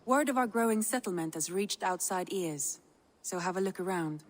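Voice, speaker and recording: A woman's voice: mid-range voice, animated, moderate pace, natural voice. A woman playing a settlement adviser in a reverberant hall. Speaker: game character.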